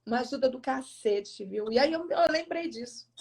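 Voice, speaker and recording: A woman's voice: sits high, animated, normal speed, creaky, a little mellow. A woman with a Brazilian accent, chatting informally and using slang as a co-host. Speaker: live chat co-host. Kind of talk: casual chat. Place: remote social media live video call.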